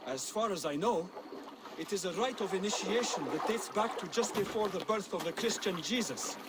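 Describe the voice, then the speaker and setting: A man plays a young male assassin mentor in a video game cutscene. His voice sits high for a man, earnest and measured, with formal, instructive phrasing. Clear outdoor noise sits behind the words. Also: lightly acted.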